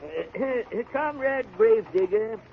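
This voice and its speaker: An actor playing an old peasant gravedigger in a radio drama, wheedling and excitable, with a wavering, stammering delivery. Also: male voice, slow, vocal fry, heavily acted. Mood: wheedling, nervous. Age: older. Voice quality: high-pitched, wavering old man's voice. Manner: hesitant, stammering, exclamatory. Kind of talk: golden age radio drama acting. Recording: muffled, noisy old radio broadcast.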